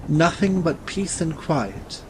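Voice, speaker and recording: An actor playing a suave, languid gentleman swordsman in a dramatized audiobook, speaking in a courtly, formal way. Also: a little high, lively intonation, slow pace, slight background noise, poor audio. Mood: languid, wistful. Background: ambient sea sound bed.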